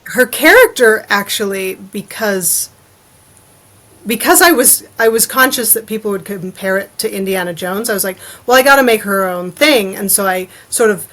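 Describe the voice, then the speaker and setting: A woman's voice: medium pitch, normal speed, fairly bright tone. A woman talking casually as a podcast guest, informal and given to loose run-on asides. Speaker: interview guest, adventure fiction author.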